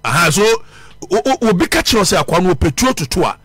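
Male radio host, Ghanaian accent, impassioned commentary, high-ish and very lively delivery. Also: fast, husky, soft background music. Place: broadcast studio.

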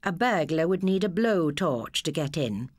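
A woman voicing a kindly, granny-like old shopkeeper in an audiobook, cosy and unhurried. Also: sits low, expressive, a little mellow, dry recording. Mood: cosy, confiding.

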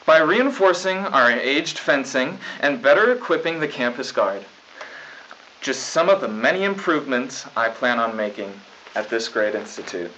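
A young man playing an earnest, self-important high school student president, reading stiff, formal mock news at a desk. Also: lively intonation, moderate pace, pushed and bright, slight background noise. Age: young adult. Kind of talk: scripted mock 1990s school news broadcast. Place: small studio news desk. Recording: dull lo-fi 1990s videotape.

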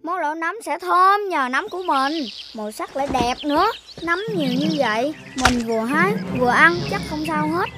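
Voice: sits high, animated, moderate pace, hardly any pauses, noisy background. A performer voicing a greedy, boastful, chubby young animal in a children's cartoon, with a southern Vietnamese accent. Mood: greedy delight. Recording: music behind the voice.

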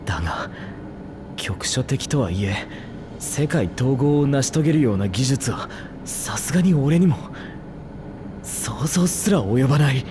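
A man voicing a composed, scholarly young cat-eared mage in a video game, serious and measured. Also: medium pitch, animated, background noise, natural voice. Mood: grave, pensive.

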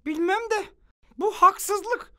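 A woman's voice: extremely high pitch, slow pace, fairly bright tone, exaggerated voice. A woman voicing a cheeky, boastful cartoon kid who sulks and brags, for a dubbed cartoon. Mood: whiny. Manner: sulky, boastful. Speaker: dub voice actor. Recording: cartoon soundtrack with music.

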